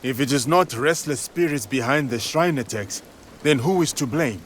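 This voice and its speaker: A man voicing an earnest, steady warrior. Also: medium pitch, normal speed, a little hiss or hum, close to an everyday voice. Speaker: game protagonist. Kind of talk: video game cutscene acting. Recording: game audio with music.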